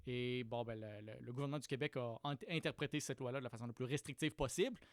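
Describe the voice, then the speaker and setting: Measured man with a Quebec French accent. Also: mid-range voice, clean tone, no echo. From Quebec City, Canada. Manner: thoughtful, measured, with frequent restarts. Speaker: podcast guest. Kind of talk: explanatory conversational interview.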